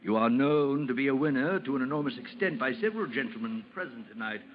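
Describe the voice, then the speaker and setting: An actor playing an effusive old French soldier, gallant and slyly sinister, in florid vintage radio horror style. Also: male voice, medium pitch, fast, natural voice. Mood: slyly coaxing. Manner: florid, exclamatory, gushing with chuckles. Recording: muffled, noisy old radio recording.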